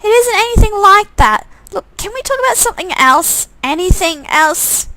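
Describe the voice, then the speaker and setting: Lady doing a secretive young shop girl in a visual novel, earnest n defensive, really high voice. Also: sing-song, moderate pace, bright, forceful tone, no echo, exaggerated voice, low-quality recording. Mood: defensive, evasive. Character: evasive, caring young shop worker woman with secrets. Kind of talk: acted visual novel dialogue.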